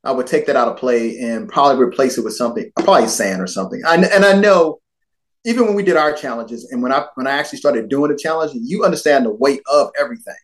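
A man's voice: mid-range voice, quick pace. A man co-hosting a podcast, talking casually and musing about the topic before asking a question. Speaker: podcast co-host. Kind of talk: casual chat.

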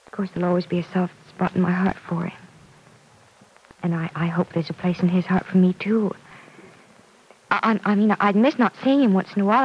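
A woman playing an earnest, lovesick young daughter in an old-time radio soap opera, hesitant and husky, repeating her words. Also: medium pitch, highs cut off. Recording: vintage radio recording.

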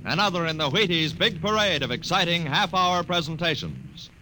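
A man announcing and narrating a 1950s radio drama in a dramatic, drawn-out delivery. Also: a little high, big pitch swings, pushed and bright, slight background noise, rough recording. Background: music.

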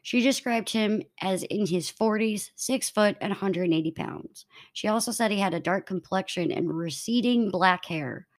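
A woman's voice: mid-range voice, normal speed, few pauses. A woman hosting a true crime podcast, narrating in an informal, conversational way between bits of casual chat.

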